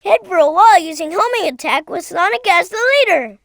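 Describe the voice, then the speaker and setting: A performer voicing an eager, helpful young boy fox in a video game, speaking earnestly and clearly in scripted lines. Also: very high voice, lively intonation, fairly quick, a bit bright, dry recording, clean studio sound, exaggerated voice. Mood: earnest, instructive.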